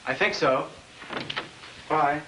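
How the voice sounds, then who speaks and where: A young man playing a polite, guarded teenage son in a 1950s film drama, halting and understated. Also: on the high side, slight background noise, exaggerated voice, rough recording. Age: young adult. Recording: old film soundtrack under loud music.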